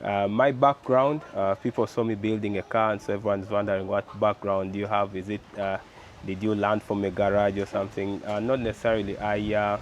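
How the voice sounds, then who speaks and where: A young man with a Ugandan English accent, talking casually in an interview, animated and full of rhetorical questions and fillers. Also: on the low side, a little fast, highs cut off, a little hiss or hum. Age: young adult. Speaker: guest, car builder.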